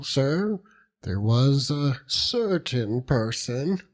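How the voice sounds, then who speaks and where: Hearty old country seaman, chuckling, overjoyed, lively pitch, unhurried audiobook character. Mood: chuckling, sly. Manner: chuckling, rambling, dialect-heavy storytelling with exclamations. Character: hearty old working-class seaman uncle, doting and overjoyed.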